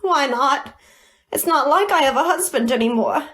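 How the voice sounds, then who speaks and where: A woman playing a melodramatic Roman widow with a shrill, sobbing wail in an old-time radio comedy. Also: expressive, normal speed, a bit bright. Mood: wailing, exasperated. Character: melodramatic wailing Roman widow, a brassy dame.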